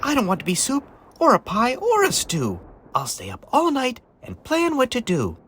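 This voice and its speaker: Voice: mid-range voice, huge pitch swings, moderate pace, a bit bright, a little hiss or hum, voice pushed up. A performer voicing a kind, cheerful pumpkin come to life in a children's storybook, sweet and eager to please. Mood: worried.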